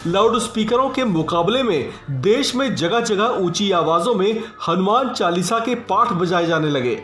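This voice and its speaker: A man's voice: high-pitched, lively intonation, moderate pace, fairly bright tone. A man presenting scripted news narration with an emphatic, rhetorical delivery. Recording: roomy voice-over with music behind. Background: music.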